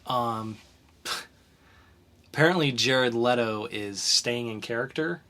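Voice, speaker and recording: A young man riffs through the week's news as a podcast co-host, his voice bright and forward. He talks loosely, with ums and restarts, and rushes ahead when something excites him. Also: medium pitch. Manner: chatty, with fillers and bursts of speed. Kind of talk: casual news chat.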